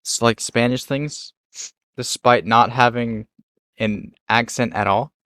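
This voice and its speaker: A man's voice: medium pitch, fairly even intonation, slow, a bit bright, dry recording. A young man chatting casually and easily with a friend, laughing often. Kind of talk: casual chat.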